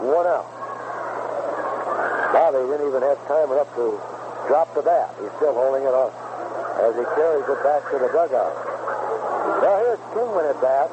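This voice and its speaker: A man's voice: mid-range voice, animated, moderate pace, long pauses. A man doing live play-by-play baseball commentary as a radio announcer. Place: outdoor ballpark broadcast booth. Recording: dull, noisy 1970s AM radio broadcast. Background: clear outdoor ambient noise.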